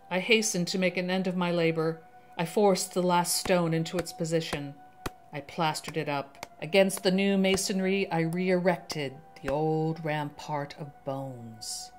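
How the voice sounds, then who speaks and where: Low-voiced woman reading gothic tale over music. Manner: theatrical, deliberate, ominous. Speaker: storyteller, channel host. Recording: music under the voice.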